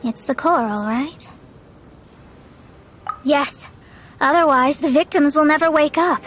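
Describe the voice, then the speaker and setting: An actress playing a young, learned and proud astrologer in a video game, speaking in an articulate, formal way. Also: female voice, high-pitched, takes it slowly, a bit bright, soft background music. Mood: urgent, serious.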